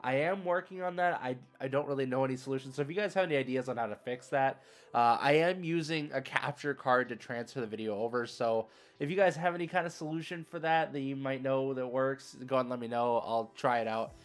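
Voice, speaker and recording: A man's voice: mid-range voice, quick pace, soft background music. A man live streaming a game, chatting casually with viewers in a rambling, conversational, slangy way. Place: indoors, solo at a streaming setup.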